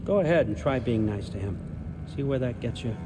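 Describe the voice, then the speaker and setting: A man's voice: mid-range voice, lively intonation, fairly quick, noisy background, close to an everyday voice. An older man playing a sly, folksy god ruler in a video game, talking in a wry, needling, falsely friendly way. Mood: needling, casual. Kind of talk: naturalistic video game acting.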